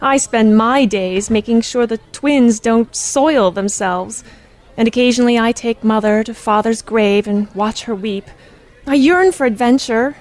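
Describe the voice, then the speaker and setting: A woman's voice: fairly high pitch, a little slow, darkish tone, natural voice, low-quality recording. A woman playing a genteel, delicate, wistful young lady in an 1870s radio play, speaking with polite, formal period diction. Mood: wistful, timid. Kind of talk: old-fashioned radio play acting.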